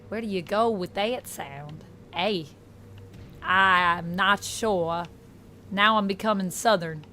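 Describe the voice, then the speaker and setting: Woman streamer doing a wobbly put-on aussie accent, real slow and halting, casual chat, mid pitch. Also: pushed and bright, slight background noise. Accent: put-on Australian, slipping toward New Zealand and Irish. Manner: halting, self-conscious, trying out vowel sounds and repeating words. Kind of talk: casual livestream chat while practicing accents.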